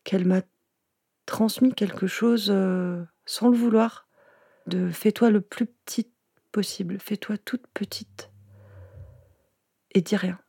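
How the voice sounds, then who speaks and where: A woman giving a personal testimony in a soft, hesitant way. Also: medium pitch, fairly even intonation, slow, creaky, long pauses, breathy edge, no room sound, studio quality. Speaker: interviewee.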